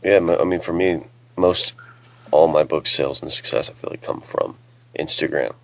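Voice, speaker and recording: A man with a very deep, creaky voice, chatting casually as an author in a rambling, self-deprecating way. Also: fairly even intonation, moderate pace, dry recording. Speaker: author and podcast panelist. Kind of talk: casual chat.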